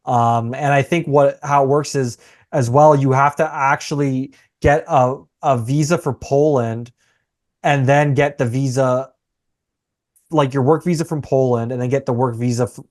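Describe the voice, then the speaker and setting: A man from Canada chats about hockey news in a relaxed, conversational way, now and then reading figures out loud. His voice sits at a middle pitch. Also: normal speed. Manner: easygoing, reads stats aloud. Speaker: podcast co-host. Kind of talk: casual sports podcast chat.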